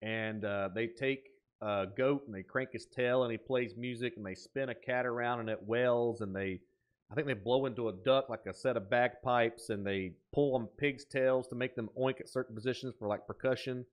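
A man in his thirties giving off-the-cuff reaction commentary, thinking aloud in an excitable but musing way. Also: medium pitch, normal speed. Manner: excitable, exclaiming, dropping to musing asides. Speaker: host of a reaction video. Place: small indoor room at a desk.

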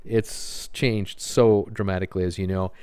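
A man's voice: low voice, fairly even intonation, normal speed, a little mellow, clear, resonant tone, dry recording, studio quality. An older man narrating a casual cruise vlog over photos, rambling with many fillers and a wistful tone. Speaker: cruise vlogger.

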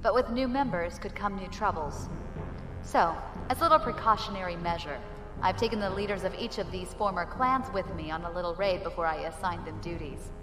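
A woman's voice: fairly low pitch, fairly quick, close to an everyday voice. A woman playing a commanding, wary, impatient space pirate leader in an audio drama. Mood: measured, wary. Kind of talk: audio drama acting and narration. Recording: long reverb, music behind.

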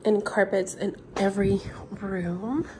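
A young woman from Puerto Rico, gushing with excitement as she films a tour of her new empty house on a handheld phone. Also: medium pitch, slow pace, a little hiss or hum. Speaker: vlogger. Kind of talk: home tour vlog. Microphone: handheld phone camera.

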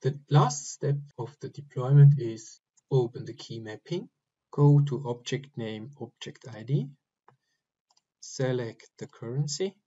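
Guy doing a software walkthrough, bit raspy, short halting steps, kinda muffled lo-fi audio. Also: mid-range voice, lively intonation. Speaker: software tutorial presenter. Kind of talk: step-by-step screen-recording narration. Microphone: voice-over recorded over screen capture.

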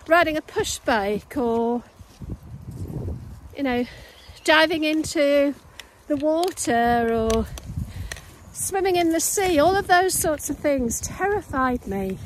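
High-voiced woman in her late fifties. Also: low-quality recording. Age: late 50s. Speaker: vlogger. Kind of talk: personal reflective vlog to camera. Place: outdoors in open countryside on a walk. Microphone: phone at arm's length. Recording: clear outdoor background noise.

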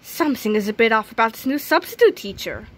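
A woman reading a children's graphic novel aloud, expressively, reading sound effects out loud as well. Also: fairly high pitch, expressive, fast. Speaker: reader.

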